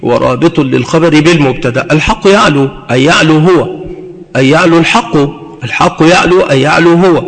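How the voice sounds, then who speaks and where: Male instructor, somewhat high voice, didactic and repetitive lecture delivery, recorded with long hall reverb. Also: brisk, a bit bright. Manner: didactic, repeats phrases for emphasis. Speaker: Arabic grammar teacher. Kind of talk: lecture explaining grammar with examples.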